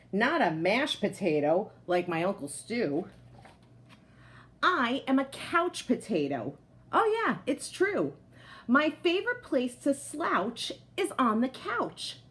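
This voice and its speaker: A woman voicing a smug, comfort-loving male potato from a picture book, boastful and exclamatory. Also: on the high side. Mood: proud, then cozy, content. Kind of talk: picture-book character narration.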